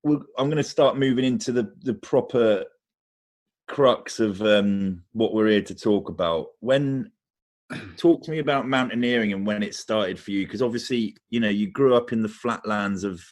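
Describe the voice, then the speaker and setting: A male podcast host with a fairly low voice who chats with his guest in a relaxed, matey way. He works his way into questions with casual asides. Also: normal speed. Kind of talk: casual interview.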